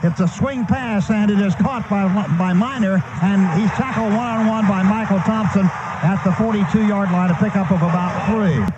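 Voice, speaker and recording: A high-voiced American man calls a football game live on the radio. He describes each movement in short, running bursts. The broadcast sounds dull and lo-fi, with clear noise behind him. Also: normal speed. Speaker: play-by-play radio announcer. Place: stadium broadcast booth.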